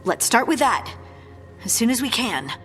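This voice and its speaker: A woman's voice: a little high, very animated, normal speed, a bit bright, in a large hall, soft background music. A woman playing a restless, driven, blunt fighter in a fantasy video game, speaking in clipped, insistent phrases. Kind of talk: video game cutscene dialogue.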